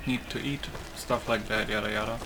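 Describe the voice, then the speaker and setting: A man's voice: on the low side, steady tone, normal speed, background noise. A man giving casual, offhand, sweary let's play commentary as he plays a video game. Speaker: gaming video creator.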